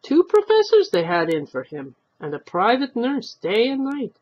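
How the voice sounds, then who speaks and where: A woman reader plays a stubborn old man, complacent and sardonic. He grumbles in Yiddish-inflected English with rhetorical questions and lively jumps in pitch. The storybook voice is a little husky on a dull recording. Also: moderate pace. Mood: boastful, admiring.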